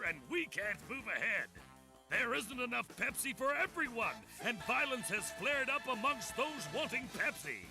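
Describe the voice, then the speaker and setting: Over loud music comes a high-pitched, gravelly cartoonish informant from a video game cutscene. He pleads with a hero in urgent, earnest exposition. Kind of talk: scripted game cutscene acting.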